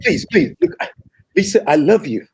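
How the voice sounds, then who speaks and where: A man's voice: sits high, huge pitch swings, normal speed, gravelly, darkish tone, a little hiss or hum, full character voice. A man playing an earnest, lovesick young African prince in a comedic table read, pleading dramatically. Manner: pleading, dramatic, repeats words.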